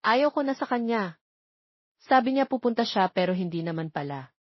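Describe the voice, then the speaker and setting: A woman's voice: on the high side, lively intonation, moderate pace, no room sound, highs cut off. A woman voicing sweet, polite young twin boys, earnest and a little sulky, in an audio romance story. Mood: sulky, sad.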